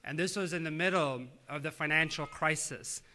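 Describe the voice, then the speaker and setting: A man in his thirties from Tanzania, a native Swahili speaker, giving an earnest, measured public speech with frequent "uh" fillers. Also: fairly high pitch, sharp tone. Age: 30s. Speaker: government official giving a speech.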